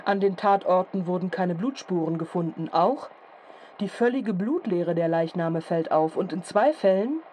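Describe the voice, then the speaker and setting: A woman playing a formal radio announcer, measured and solemn, in a scripted radio drama. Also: on the low side, lively intonation, warm tone, natural voice. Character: grave, formal female radio announcer reporting murders. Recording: faint background noise and ambience.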